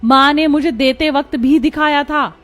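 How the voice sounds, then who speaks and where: A woman voices a young bride in a family story drama. Her very high voice is dramatic and full of accusation, with music playing underneath. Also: steady tone, moderate pace, a bit bright. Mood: accusing.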